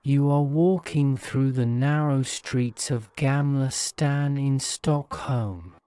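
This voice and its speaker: A man reads a bedtime guided-imagery story at a very slow, measured pace. His voice is soothing and mellow, with a smooth, clean tone. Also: medium pitch, dry recording. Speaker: narrator.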